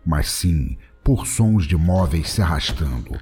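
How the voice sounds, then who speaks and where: A man's voice: extremely low pitch, lively intonation, slow pace, noisy background. A man with a Brazilian accent narrating a scripted comic story in a solemn, dramatic way. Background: music.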